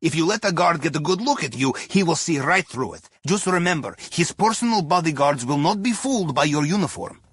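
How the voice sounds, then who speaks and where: An actor voicing a wry, jovial Russian submarine captain who guides heists in a video game, with a Russian accent and a husky voice. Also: male voice, on the high side, expressive, a little fast, hardly any pauses, fairly bright tone, dry recording. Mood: brisk, instructive. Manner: wry, playful, conspiratorial asides. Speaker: mission guide character in an action video game. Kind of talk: scripted video game mission voice lines.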